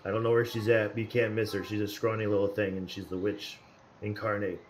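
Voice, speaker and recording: A man's voice: lowish voice, flat delivery, brisk, slight background noise. A man playing a tabletop game over voice chat, casually describing his actions and then speaking in character. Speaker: player.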